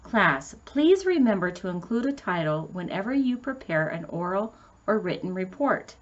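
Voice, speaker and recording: A woman's voice: mid-range voice, sing-song, moderate pace, highs cut off. A woman voicing a prim, strict but approving schoolteacher in a storybook, with a formal, correcting manner. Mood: prim correction.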